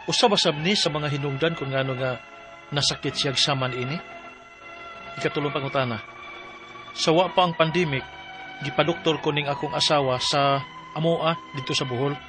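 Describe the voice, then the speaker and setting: Guy playing a weary worried husband telling his story on radio drama, highish voice, music behind. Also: lightly acted. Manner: earnest, troubled. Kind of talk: radio drama acting and narration.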